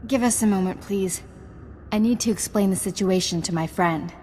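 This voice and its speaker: A woman playing a composed, resolute Jedi master in a video game, speaking in a measured, earnest and authoritative way. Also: mid-range voice, noisy background, natural voice. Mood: strained calm. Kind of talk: scripted video game acting. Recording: game music behind.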